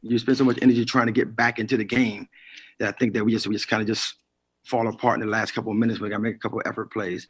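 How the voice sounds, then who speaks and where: A man's voice: fairly low pitch, little pitch movement, very fast, husky. An American man, a coach, giving informal interview remarks. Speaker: head basketball coach.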